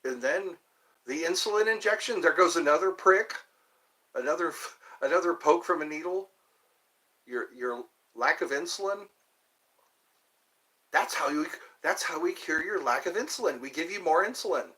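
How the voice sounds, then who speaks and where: A man in his fifties chats with his livestream viewers, wandering from one topic to the next. He jokes at his own expense in a loose, rambling way. His pitch moves up and down freely as he talks. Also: expressive, moderate pace, long pauses, sharp tone, poor audio. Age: 50s. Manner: rambling, joking, self-deprecating. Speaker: livestream host. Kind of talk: casual chat answering viewers.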